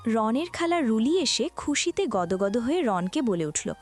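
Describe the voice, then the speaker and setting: A woman's voice: a little high, sing-song, unhurried. A woman reading scripted story narration as the narrator. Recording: music behind the voice.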